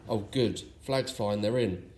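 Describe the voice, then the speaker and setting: A man's voice: fairly low pitch, measured pace, a little mellow, close to an everyday voice. Man putting on a grumbling, kindly old Father Christmas. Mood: hearty, weary. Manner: grumpy, complaining, hearty exclamations. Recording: clearly noisy recording.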